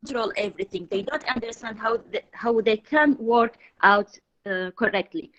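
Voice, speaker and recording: A woman from Iraq with an Arabic accent, speaking haltingly in her second language on a video call as a student. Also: on the high side, fairly bright tone, muffled recording. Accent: Iraqi Arabic. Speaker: student, university lecturer.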